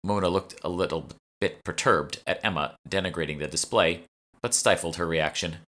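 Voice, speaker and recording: A man's voice: deep voice, normal speed, pushed and bright. A man reading first-person fiction as scripted audiobook narration, as the author of the book.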